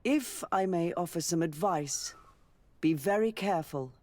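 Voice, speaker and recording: An actress playing a learned, diplomatic noblewoman governor in a video game, speaking in a measured, formal way. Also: on the low side, darkish tone, lightly acted. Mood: grave, cautious. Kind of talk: scripted video game dialogue.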